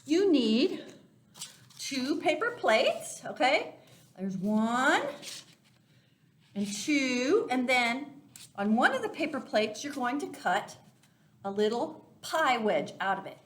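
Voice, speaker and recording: A woman's voice: high-pitched, big pitch swings, takes it slowly, long pauses. A woman leading a children's Sunday school lesson, explaining things simply, step by step, as if to young listeners. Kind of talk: children's lesson, craft demonstration.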